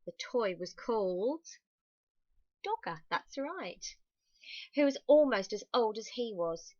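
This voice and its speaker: A woman reading a children's story aloud expressively, stressing key words and slipping in soft, chatty asides to her young listeners. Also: a little high, expressive, slow, no room sound, highs cut off.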